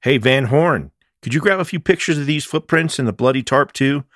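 A man's voice: mid-range voice, a little fast, fairly bright tone, no room sound, clean studio sound, pitched above the performer's own voice, natural voice. A man voicing a wry, weary amateur investigator with a casual, sardonic manner in a mystery audiobook. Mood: calling out.

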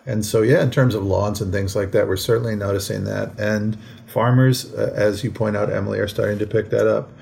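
An American man talking conversationally as a podcast co-host, in a measured, explanatory way with frequent asides. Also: on the low side, steady tone, creaky, a little mellow. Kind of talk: conversational current-events discussion. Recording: faint background noise.

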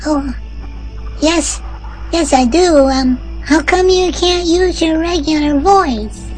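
A timid young boy, high and eager. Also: slow pace, darkish tone, soft background music, rough recording. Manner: hesitant, wide-eyed questioning.

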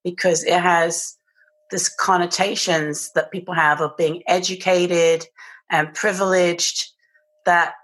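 British woman, podcast guest, lowish voice, reflective, pauses to find words. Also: little pitch movement, fairly bright tone, rough recording. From England. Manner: thoughtful, searching, restarts mid-phrase. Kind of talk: reflective conversational interview.

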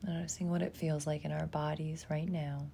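A woman leading a guided imagery meditation in a soft, breathy, gentle voice, leaving long pauses between short, soothing phrases. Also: sits low, level tone, a little hiss or hum.

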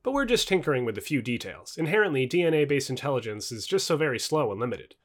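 Man acting male futurist, confident, explanatory, correcting, animated pitch, book dialogue. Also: quick pace.

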